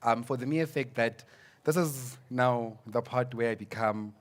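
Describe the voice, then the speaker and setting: A man's voice: medium pitch, a little slow. Young south african guy on a student panel, earnest, keeps repeating words, english is his second language. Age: young adult. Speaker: student representative. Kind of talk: panel discussion.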